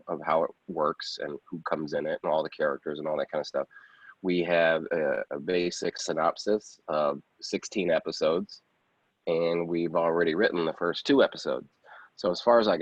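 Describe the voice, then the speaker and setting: A man giving a casual interview as an independent filmmaker, rambling conversationally and often repeating phrases. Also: sits low. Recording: dull, roomy lo-fi sound.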